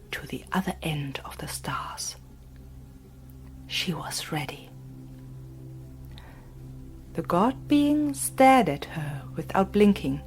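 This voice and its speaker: A woman narrating a fantasy audiobook in a soft, breathy, hushed voice. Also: medium pitch. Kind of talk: scripted narration. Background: faint music.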